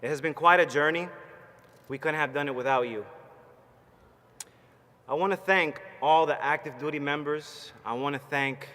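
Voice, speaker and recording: A man's voice: mid-range voice, pushed and bright. Man, 30s, rousing commencement speaker, faint background hiss. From Puerto Rico. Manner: fired-up, rousing, plainspoken and personal. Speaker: commencement speaker, Marine veteran graduate. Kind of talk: motivational commencement speech. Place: large ceremony hall, from a stage. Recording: long hall reverb, faint background noise.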